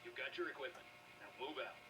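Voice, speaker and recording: A man's voice: medium pitch, fast, distant mic, music in the background, lightly acted. A man playing a principled older military quartermaster in a video game, stern and admonishing. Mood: stern, reproachful. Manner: admonishing, plain-spoken.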